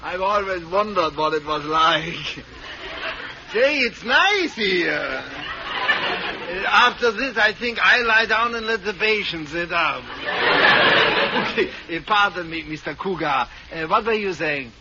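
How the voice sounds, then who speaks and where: A zany pompous psychiatrist. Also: male voice, high-pitched, animated, crowd noise. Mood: mock-serious. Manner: jokey, pompous, drawn-out delivery. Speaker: comic psychiatrist. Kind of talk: 1940s radio comedy acting. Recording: dull, noisy old radio broadcast.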